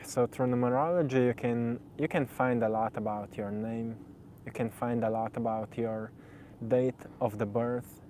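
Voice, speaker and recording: A man in his twenties whose first language is Slovenian, talking reflectively to camera. He speaks gently and searchingly, repeating phrases as he builds a thought. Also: medium pitch, fairly even intonation, normal speed, slight background noise. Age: 20s. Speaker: spiritual video host. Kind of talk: reflective spoken monologue.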